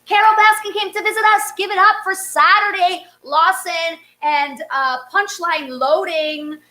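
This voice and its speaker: A woman's voice: extremely high pitch, measured pace, pushed and bright. A woman hosting a variety show in a casual, effusive, exclamatory way. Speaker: host of a livestreamed variety show.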